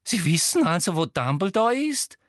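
A man's voice: high voice, very animated, moderate pace, dry recording, clean studio sound, pitched above the performer's own voice. A man voicing a bossy, greedy female ministry official in an audiobook, brusque, eager and biting in manner.

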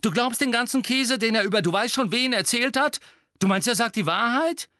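A man's voice: sits high, animated, brisk, a bit bright, dry recording, clean studio sound, voice pushed up. A man voicing an indignant, hot-tempered schoolboy in an audiobook, snapping scornfully. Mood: scornful, heated. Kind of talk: audiobook character voice.

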